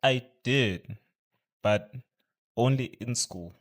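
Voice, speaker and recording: A young man from South Africa's Venda region, a native Tshivenda speaker, being interviewed as a podcast guest. He speaks softly and hesitantly, with many pauses. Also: mid-range voice. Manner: soft-spoken, hesitant, with fillers. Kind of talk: casual interview chat.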